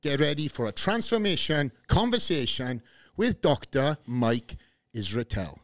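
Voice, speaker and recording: A man with a Welsh accent hosting a podcast, friendly and laid-back, speaking into a studio boom mic. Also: sing-song, unhurried, no echo. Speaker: podcast host. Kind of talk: casual podcast chat. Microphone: studio boom mic with headphones.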